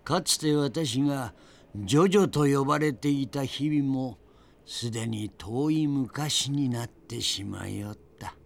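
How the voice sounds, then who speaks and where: An actor playing a spirited old grandfather in an audio drama, narrating his memories with theatrical, deliberate pauses and a gravelly voice. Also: medium pitch. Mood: nostalgic. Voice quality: gravelly, creaky. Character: spirited old grandfather reminiscing fondly about past adventures.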